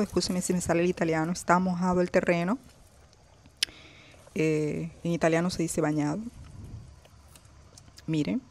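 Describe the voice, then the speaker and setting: A woman with a low-pitched voice talks through a casual gardening vlog. She speaks to her viewers as she films her plants close-up on a phone outdoors on a balcony, pointing things out one by one. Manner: chatty, addresses viewers directly, points things out. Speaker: gardening vlogger.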